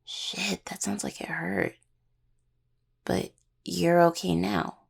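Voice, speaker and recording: A woman's voice: mid-range voice, moderate pace, vocal fry, darkish tone, husky. A woman acting in an audio roleplay as an anxious young student necromancer and devoted partner, stammering and earnest. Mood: worried, hurt.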